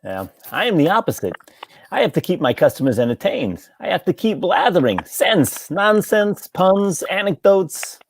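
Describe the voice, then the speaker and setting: A woman's voice: lowish voice, very animated, normal speed, slight background noise. A woman reading the part of a jealous, lovesick, talkative male barber in a stage comedy table read. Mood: blathering, evasive.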